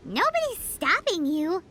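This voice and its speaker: A woman voicing a tiny, childlike female companion in an anime game, squeaky and perky, cheeky and encouraging. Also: very noisy, exaggerated voice. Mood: dismissive, consoling. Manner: perky, exclamatory.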